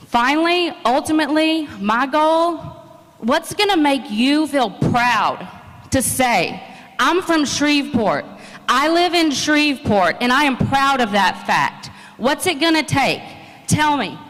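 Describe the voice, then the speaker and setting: Brief: woman candidate, slightly high, chatty then emphatic, big hall reverb. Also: a bit bright. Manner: chatty, rising to emphatic appeals. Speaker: mayoral candidate. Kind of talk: campaign speech at a panel. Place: large indoor event hall.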